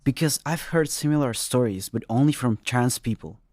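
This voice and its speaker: A man's voice: mid-range voice, normal speed, darkish tone, dry recording. A man reading a scripted first-person story as its narrator. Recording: studio narration over music. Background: music.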